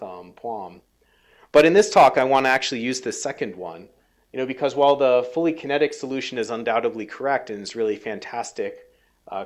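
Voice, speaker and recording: A man giving a technical research lecture, halting and full of ums and restarts, his voice a little worn. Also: mid-range voice. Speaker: scientist giving a research talk.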